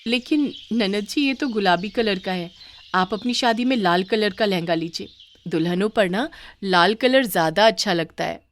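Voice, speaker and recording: A woman's voice: mid-range voice, normal speed, natural voice. A woman voicing a meek young daughter-in-law in a moral story, polite, deferential and apologetic. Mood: gently advising. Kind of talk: acted dialogue in a moral story.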